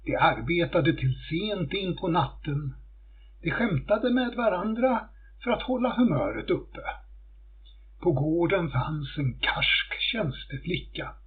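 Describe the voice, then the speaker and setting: A man's voice: fairly high pitch, husky. A man reading a scripted folktale as a storyteller. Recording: muffled phone-like audio.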